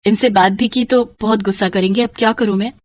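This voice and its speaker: A woman's voice: mid-range voice, fast talker, no room sound, lightly acted. A woman voicing an affectionate, sometimes anxious young village mother and wife in a cartoon story. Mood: worried. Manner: affectionate, expressive.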